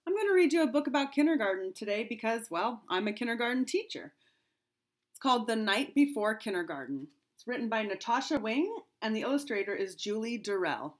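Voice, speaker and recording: A middle-aged woman reads to young children like a kindergarten teacher. Her voice sits a bit high and swings widely in melody. She leans into the rhymes with expressive, sing-song emphasis. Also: fairly quick. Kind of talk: reading a rhyming picture book aloud to children. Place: small home room indoors.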